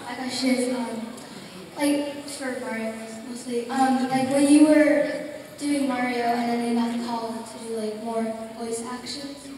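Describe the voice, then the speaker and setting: A woman with a slightly high voice, halting and filling gaps as she asks her question. Her voice sounds distant in a strongly echoing hall. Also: steady tone, a little mellow. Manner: halting, many "like"s. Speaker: fan asking a question. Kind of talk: audience question. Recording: very echoey hall from far off.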